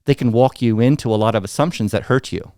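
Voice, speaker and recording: A middle-aged American man tells stories bluntly, with animated energy, and voices the people in them as he goes. His voice sits in a mid range. The sound is dry and close. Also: medium pitch, fast talker, no room sound. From United States. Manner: blunt, animated, acts out quoted dialogue. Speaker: guest. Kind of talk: candid storytelling interview.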